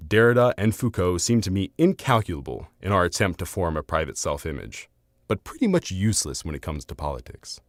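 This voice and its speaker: A man narrating a scripted argumentative essay in a formal, measured, academic manner. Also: fairly low pitch, dry recording, clean studio sound. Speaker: video essayist.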